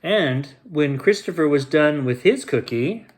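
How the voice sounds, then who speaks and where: A man with a slightly high voice hosts a children's bedtime story. His delivery is expressive and playful, made for reading aloud to young listeners. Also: unhurried. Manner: expressive, with playful repeated sound effects.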